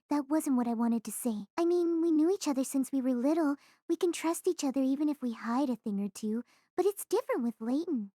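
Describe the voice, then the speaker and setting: A high-voiced, mellow teenage girl played by a woman for an audio drama. She is curious and blunt, chatting away with frank insistence. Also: no room sound, studio quality, lightly acted. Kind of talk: audio drama character dialogue.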